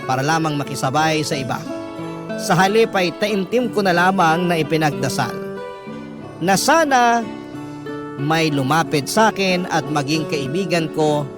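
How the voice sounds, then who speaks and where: A male storyteller with a Filipino accent and a fairly high voice reads a heartfelt letter for a radio drama. His delivery is scripted and emotive, with clear guitar music playing underneath. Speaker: radio drama narrator reading a listener's letter. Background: guitar and instrumental music.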